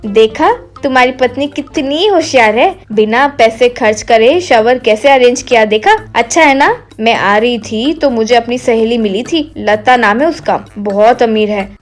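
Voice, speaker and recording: A woman voicing an eager, naive young wife who chatters and explains excitedly in a comic story. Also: on the high side, unhurried, hardly any pauses, faint music behind, close to an everyday voice. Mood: proud, excited.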